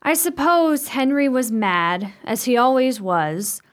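Actress for gothic radio play: composed married sister, prim formal phrasing, high and very melodic. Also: female voice, sing-song, takes it slowly, heavily acted. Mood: earnest, troubled. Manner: formal, genteel diction. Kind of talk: old-fashioned radio play acting.

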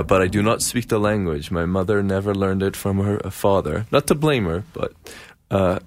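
Brief: young man from Iran, very low voice, radio co-host, halting with lots of fillers, dry studio sound. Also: no echo. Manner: halting, many fillers and restarts. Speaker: radio co-host, student union vice president. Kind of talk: casual campus radio chat.

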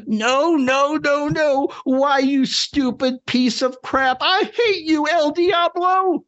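A man's voice: very high voice, slow, a bit bright, lo-fi sound, voice pushed up, heavily acted. A man voicing a crotchety, boastful old schemer with a Southern US drawl in a dramatic story reading. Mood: anguished rage. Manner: cantankerous, ranting.

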